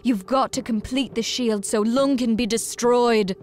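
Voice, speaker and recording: An actress playing a poised, grave noblewoman who leads a cause in a video game, speaking in a measured, formal way. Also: on the high side, darkish tone, lightly acted. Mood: grave, revealing. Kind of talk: video game cutscene acting.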